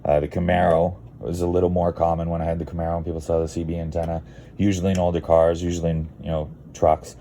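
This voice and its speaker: A man's voice: deep voice, completely flat delivery, fast, warm tone, a little hiss or hum. A Canadian man giving a casual, rambling how-to vlog in a laid-back, blunt, digressive way. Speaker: car video creator.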